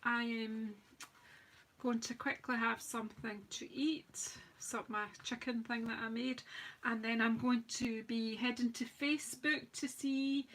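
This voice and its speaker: A woman with a slightly croaky voice, chatting and rambling as she hosts a live craft demonstration. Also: fairly high pitch, fairly even intonation, unhurried, fairly bright tone. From United Kingdom. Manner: chatty, rambling, self-deprecating, talks while working. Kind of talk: live-stream craft demo chat.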